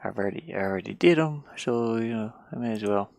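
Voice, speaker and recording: A game developer chatting casually and haltingly while working at a computer, murmuring half to themselves. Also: medium pitch, animated, gravelly, darkish tone, dry recording, clean studio sound. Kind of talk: casual screen-share chat while working. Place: inside a van.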